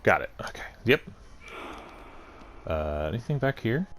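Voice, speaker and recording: Low-voiced man muttering through a game. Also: big pitch swings, measured pace, a bit bright, a little hiss or hum. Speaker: gaming channel host playing a game. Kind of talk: casual let's play commentary, thinking aloud.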